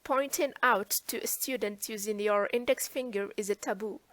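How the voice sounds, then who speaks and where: A woman's voice: medium pitch, normal speed. A woman from eastern Algeria with an Algerian accent, hosting a webinar conversation as moderator and English teacher. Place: online video call.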